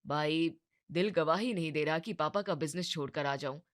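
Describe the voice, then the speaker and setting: Woman voicing a weary, hesitant young shopkeeper man, low-pitched. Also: normal speed, lightly acted. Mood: hesitant, torn. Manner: hesitant, resigned. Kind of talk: Hindi animated moral story dialogue.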